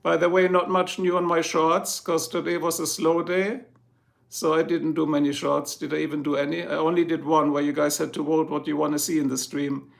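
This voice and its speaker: Gravelly German-accented man, chatty streamer. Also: a little high, flat delivery, fairly quick. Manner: chatty, answers viewers one by one, chuckles often. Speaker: livestream host. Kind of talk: casual livestream chat reading comments.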